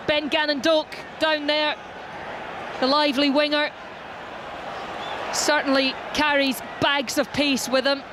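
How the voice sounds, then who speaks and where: A man giving live play-by-play football commentary, calling the action with rising energy. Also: very high-pitched. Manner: fast, rising calls over the action. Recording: loud stadium crowd and noise behind.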